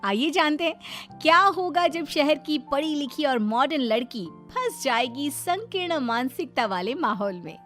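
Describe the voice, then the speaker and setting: Woman narrator, high pitch, scripted storytelling, soft background music. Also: lively intonation, a little slow.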